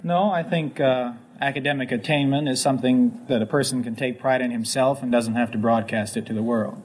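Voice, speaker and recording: A man's voice: mid-range voice, fairly even intonation, brisk, a little mellow. A man in his twenties, a quiz contestant giving polite, earnest answers. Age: 20s. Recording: faint hiss of 1950s radio.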